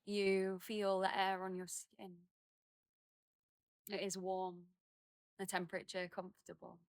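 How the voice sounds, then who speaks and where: A woman leading a guided meditation outdoors, speaking in gentle, unhurried phrases with many pauses and soothing instruction. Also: mid-range voice, little pitch movement, no room sound, clean studio sound. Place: outdoors in a garden.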